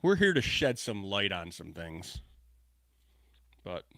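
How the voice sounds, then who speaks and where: A man's voice: deep voice, sing-song, slow, pushed and bright, dry recording. A man co-hosting a podcast from his office, talking in brash, swearing, joking banter. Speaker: podcast co-host. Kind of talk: casual podcast chat. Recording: dry close mic in a home office.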